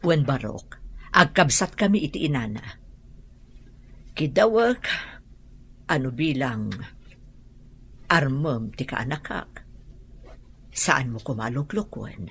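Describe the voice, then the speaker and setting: A matriarchal older aunt, very low and raspy, with widely swinging intonation. She is frank, protective and probing, in emphatic Ilocano radio drama style. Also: animated, slow pace, long pauses, heavily acted.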